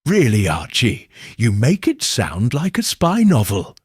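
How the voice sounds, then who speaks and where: A nervous wife of a colonel in a storybook mystery, read by a male narrator. Her chatter is light and strained, with lively pitch and a faintly husky tone. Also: unhurried, dry recording, clean studio sound, full character voice.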